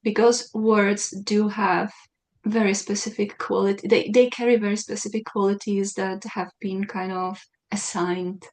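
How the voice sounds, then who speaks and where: A woman hosting a conversation, talking in an animated way and searching for the right words as she thinks aloud. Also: mid-range voice, measured pace. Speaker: podcast host. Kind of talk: casual conversation.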